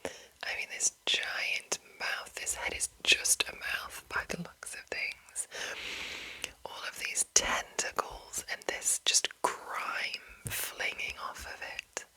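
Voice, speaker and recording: A woman reading and commenting as an ASMR host in a breathy, unvoiced whisper, deliberate and pausing between short phrases. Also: bright, forceful tone, poor audio. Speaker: host reading a game rulebook.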